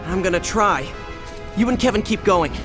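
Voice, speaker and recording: A man's voice: sits high, normal speed, close to an everyday voice. Joking teen hero boy voiced by a man, determined, game music going behind. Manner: determined, wisecracking. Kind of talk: video game voice acting.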